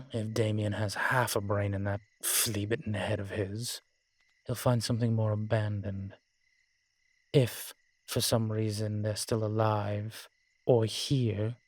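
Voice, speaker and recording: A man's voice: lowish voice, steady tone, takes it slowly, no room sound. A man playing an ancient, proud and world-weary male vampire in an audio roleplay, talking in a wry, haughty way with old-fashioned fancy words. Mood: grumbling, watchful. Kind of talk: audio roleplay drama, one-sided dialogue.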